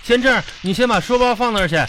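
A man's voice: extremely high pitch, very fast, bright, forceful tone, slight background noise, poor audio. A man playing a busy, grumbling but coaxing father in a radio comedy. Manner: fussy, colloquial, hurrying along. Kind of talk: radio comedy sketch acting. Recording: music behind the voice.

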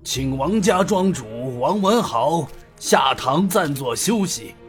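Man doing a stern scheming county magistrate, bossy and sneery, lively pitch. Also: takes it slowly, soft background music. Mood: formal. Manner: commanding, sneering.

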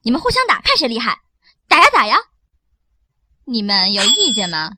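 A woman's voice: on the high side, sing-song, normal speed, pushed and bright, slightly rough, no room sound, studio quality, full character voice. An actress voicing a spoiled, demanding young witch who is a demon's fiancée, pouty and bossy, in an amateur radio drama. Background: background music.

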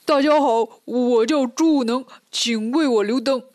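A woman voicing a greedy, lovestruck, bumbling pig-man in a storybook tale, with a drawn-out, wheedling, oafish delivery. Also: a little high, a little mellow, no echo, studio quality, natural voice. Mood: showy, eager.

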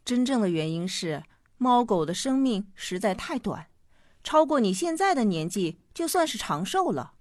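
A woman playing a gentle, patient mother who explains earnestly in a radio drama. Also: mid-range voice, very animated, normal speed, dry recording, clean studio sound, natural voice. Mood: earnest, sorrowful.

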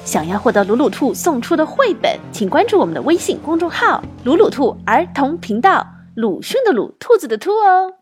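A woman's voice: high-pitched, big pitch swings, a little fast, hardly any pauses, soft background music. A woman hosting a children's audio show, reading scripted announcements to young listeners.

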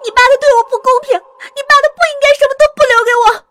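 A woman voicing a scheming, desperate landlady in an audio story, shrill and pleading. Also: expressive, fast. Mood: terrified pleading. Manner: shrill, pleading, frantic when threatened. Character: scheming, desperate landlady begging for her life.

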